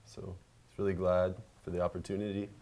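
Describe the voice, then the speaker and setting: A man's voice: low-pitched, flat delivery, a little fast, darkish tone. A man answering casually as an interview guest, laid-back and hesitant, letting his sentences trail off. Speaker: guest, visual artist.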